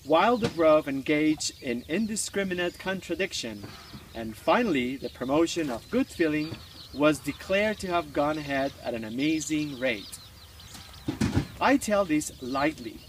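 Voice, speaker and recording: A man with a slightly high voice reads a classic novel aloud with deliberate, formal phrasing. He is recorded at a distance from the microphone, with faint outdoor noise of birds and clucking poultry behind him. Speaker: reader. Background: birds, chickens and poultry clucking.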